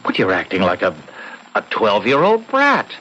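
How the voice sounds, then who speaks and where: A man's voice: fairly low pitch, huge pitch swings, moderate pace, bright, forceful tone, husky, heavily acted. A man acting in a 1970s radio drama as an ambitious young husband, earnest, hesitant and exasperated. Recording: muffled, noisy 1970s AM radio recording.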